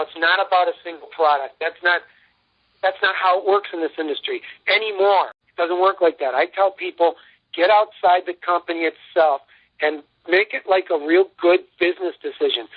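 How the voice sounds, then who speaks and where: A man giving an emphatic, boastful sales pitch as a guest in a radio interview, telling anecdotes as he goes. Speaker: interview guest, company founder and CEO. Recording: muffled lo-fi phone-like radio line.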